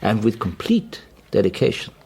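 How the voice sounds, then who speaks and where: Low, husky, officious male security officer. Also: huge pitch swings, moderate pace, darkish tone, exaggerated voice. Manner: formal, officious. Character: stern, formal East German state security officer. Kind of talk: radio drama acting.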